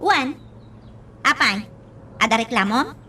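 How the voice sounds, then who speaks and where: A woman's voice: a little high, very animated, takes it slowly, bright, forceful tone, slight background noise, heavily acted. A woman acting a bossy, impatient elder in an Ilocano radio drama, insistent and exasperated. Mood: demanding. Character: bossy, impatient older sister figure, moody and pushy.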